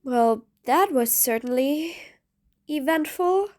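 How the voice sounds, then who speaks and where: A high-pitched female supervillain, acted by a woman for an audio roleplay. She is bossy and sardonic, mean yet patient, and her menace comes with a grudging fondness for the one she addresses. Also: animated, slow pace, darkish tone. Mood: wry, grudging.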